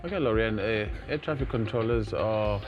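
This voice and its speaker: A man's voice: fairly low pitch, moderate pace, lo-fi sound, music in the background. A South African man giving formal explanations as an interview guest, in hesitant, stop-start phrases. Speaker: guest, air traffic controller. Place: TV studio.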